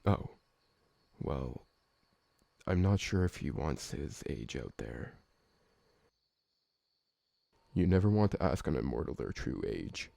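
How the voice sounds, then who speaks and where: A man playing a gentle, awkward, polite old vampire servant who talks hesitantly and deferentially in an ASMR roleplay. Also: extremely low pitch, sing-song, a little slow, gravelly, no room sound, exaggerated voice. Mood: gentle, tentative. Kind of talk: ASMR roleplay audio drama.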